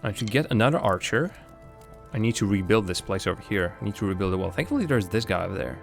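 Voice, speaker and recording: A young man from Latvia with a lowish voice that swings widely in pitch, chatting as he plays a strategy game live. He thinks out loud, reacts to the game and answers his viewers. Faint music plays behind him. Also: lively intonation, quick pace, creaky. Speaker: livestreamer playing a game. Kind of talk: casual live gameplay commentary.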